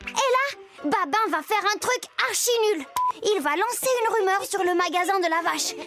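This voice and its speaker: A woman voicing a bubbly, mischievous little girl storyteller in a children's cartoon, with an expressive childlike delivery. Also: very high voice, normal speed. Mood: storytelling. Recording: loud children's music behind.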